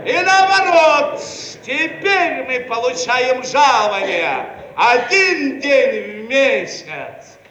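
An actor playing a pompous Soviet bureaucrat chief who orates in a drawn-out, theatrical manner in a satirical radio play. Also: male voice, very high voice, sing-song, sharp tone, heavily acted. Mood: smug, elated. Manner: pompous, oratorical, drawn-out delivery. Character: pompous male Soviet bureaucrat chief making a muddled speech. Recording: roomy, faintly noisy archive radio recording.

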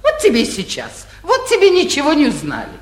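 Суетливую сварливую жену городничего, пронзительно высокий голос. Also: female voice, sits high, wildly swooping pitch, fairly quick. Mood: scolding. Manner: theatrical, impatient, nagging, exclamatory. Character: fussy, nosy, scolding middle-aged governor's wife, vain mother. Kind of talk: classic Russian stage comedy acting, 1940s radio. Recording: roomy old 1940s radio recording.

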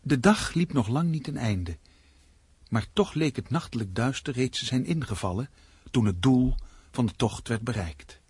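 An actor playing a grave, ominous narrator of a suspense radio play, husky and deliberate, with dramatic pauses. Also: male voice, mid-range voice, expressive, darkish tone, no room sound.

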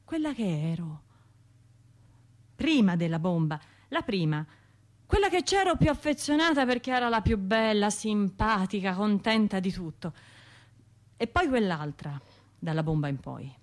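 Actress doing a wounded tuscan woman survivor, wry and halting, confiding radio drama monologue, mid-pitched voice. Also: animated, close to an everyday voice. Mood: wry, puzzled. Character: wounded, wry woman bombing survivor, talking through her pain. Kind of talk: radio theatre monologue, conversational storytelling.

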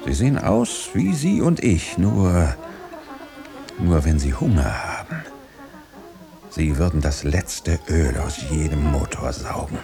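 Radio drama: haunted engineered man, low register, very animated, urgent, fractured phrasing. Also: music in the background, heavily acted. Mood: grim, explaining. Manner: halting, insistent, breaking off sentences. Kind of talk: German radio drama acting.

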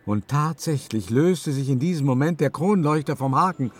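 A male actor playing an uneasy, haughty opera-goer who recounts events as a measured, suspenseful first-person radio drama narration. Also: fairly high pitch, animated, a little hiss or hum, lightly acted. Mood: tense, frightened.

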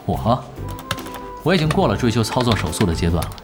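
Casting: cocky, easygoing young male gaming veteran, audio drama, brisk and varied delivery, music behind. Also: fairly low pitch, very animated. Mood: self-assured. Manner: casual, teasing, self-assured.

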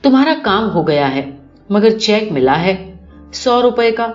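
Soft-spoken old office manager, read by a woman, music behind. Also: mid-range voice, sing-song, pitched above the performer's own voice, close to an everyday voice. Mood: smiling, polite. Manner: soft, silky-polite, reassuring. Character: bald old office manager, gentle, smooth-tongued staller. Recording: dull lo-fi recording with music behind.

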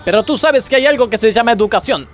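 A man's voice: extremely high pitch, lively intonation, quick pace, soft background music. A man acting a pompous, moralizing gymnastics coach who makes grandiose speeches in a parody radio play. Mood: preachy. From Argentina. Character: pompous, moralizing male gymnastics coach, scolding yet easily distracted.

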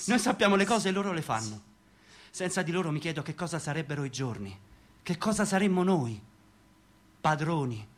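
A man's voice: on the high side, close to an everyday voice. A man plays a domineering boss. He is mocking and lordly, delivered as theatrical radio drama. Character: mocking, domineering man lording it over workers. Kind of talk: stage-style radio theatre acting.

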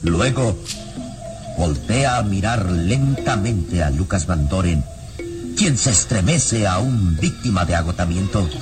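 A man's voice: low-pitched, animated, takes it slowly. A man narrating a radio serial in a solemn, formal, dramatic literary style. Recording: radio drama with music behind.

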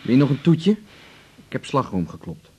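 Teasing boyfriend in 80s radio drama, husky guy, very lively pitch, wry and kinda hesitant, natural talk. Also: moderate pace. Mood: wounded, sarcastic. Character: doctor's teasing, patient male partner, wry and worried. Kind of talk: naturalistic 1980s Dutch radio drama dialogue.

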